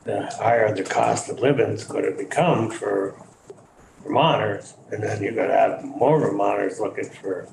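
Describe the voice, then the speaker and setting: Low gravelly man in an echoey room. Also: normal speed. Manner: plainspoken, blunt comment. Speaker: committee member. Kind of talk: committee discussion. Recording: echoey hearing room with noise.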